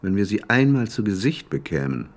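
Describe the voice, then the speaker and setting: A man's voice: fairly low pitch, very animated, brisk. A man playing a frightened, brooding astronomer with a sarcastic edge in a radio drama, speaking in an agitated, questioning way.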